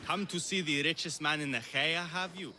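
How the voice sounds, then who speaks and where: An actor playing an eccentric, smug and witty Greek philosopher in a video game cutscene, playful and theatrical. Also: male voice, on the high side, normal speed, noisy background, natural voice. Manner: self-satisfied, playful, theatrical.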